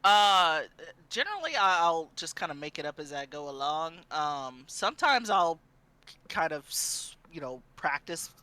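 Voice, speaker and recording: A woman's voice: sits low, big pitch swings, a little slow, pushed and bright, clear, resonant tone, no room sound. A woman from Southern California chatting casually as a streamer on a panel, in an informal, rambling way peppered with "you know". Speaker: visual novel streamer, panelist.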